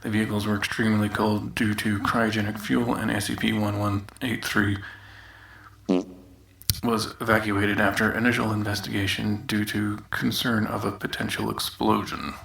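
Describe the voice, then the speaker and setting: A man's voice: fairly low pitch, flat delivery, normal speed, creaky, fairly bright tone. A man playing a grumbling demoted researcher, reading an official audio log in a dry manner with irritable asides. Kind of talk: scripted in-character document reading. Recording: noisy outdoors, later echoey bathroom.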